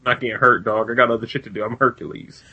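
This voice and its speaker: A man's voice: mid-range voice, steady tone, racing, breathy edge, narrow, tinny audio. An American man co-hosting a casual film chat, reacting with incredulous, slangy, profane banter. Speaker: movie review podcast co-host.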